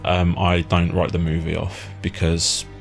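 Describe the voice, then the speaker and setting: A man's voice: deep voice, monotone, a little slow, creaky, faint music behind. A man hosting an interview, chatting informally and bluntly about his opinions. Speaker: podcast host, interviewer. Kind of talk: casual opinionated chat.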